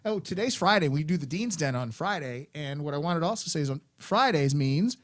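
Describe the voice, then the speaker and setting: A man's voice: on the high side, animated, a little fast, a bit bright. A man hosting a school's afternoon announcements, friendly and instructive, repeating his points to students.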